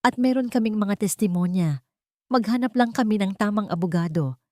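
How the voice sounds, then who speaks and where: A woman's voice: mid-range voice, normal speed, darkish tone, no echo, studio quality, voice pushed up, natural voice. A woman voicing a grieving mother in a story, cold, firm and defiant.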